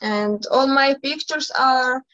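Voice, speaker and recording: A woman's voice: high-pitched, slow pace, fairly bright tone, muffled recording. A young woman in her twenties with a Croatian accent introducing herself on a video call, in careful English with some repeated words. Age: 20s. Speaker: pageant candidate, economics student. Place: indoor room.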